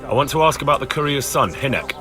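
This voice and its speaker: A man's voice: medium pitch, animated, fast, over music, natural voice. An actor playing a determined young man in a video game, pressing people with matter-of-fact questions. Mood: insistent. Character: determined young man searching for a missing villager. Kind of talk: video game cutscene acting.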